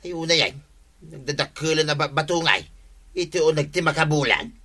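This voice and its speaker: A hapless villager in an Ilocano radio comedy drama. His voice is bright and a little high for a man. He whines, apologizes and makes excuses. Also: a little fast. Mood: bantering.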